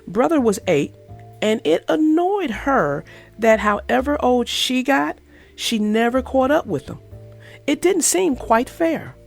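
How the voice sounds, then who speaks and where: A woman reading a children's picture book aloud in an expressive, sing-song storytelling manner. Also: high-pitched, slow pace, creaky, faint music behind. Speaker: storybook reader. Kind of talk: children's read-aloud narration.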